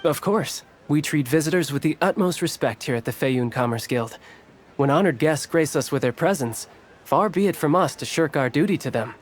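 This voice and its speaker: A man's voice: fairly high pitch, expressive, normal speed, slight background noise, natural voice. An actor voicing a polite, formal male merchant of a trade guild in a video game. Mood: gracious. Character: courteous middle-aged male merchant guild clerk.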